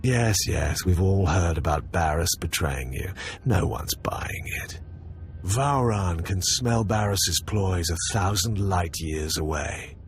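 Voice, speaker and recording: A man's voice: sits low, lively intonation, takes it slowly, soft background music. A man voicing a suspicious, scornful Sith lord in a video game.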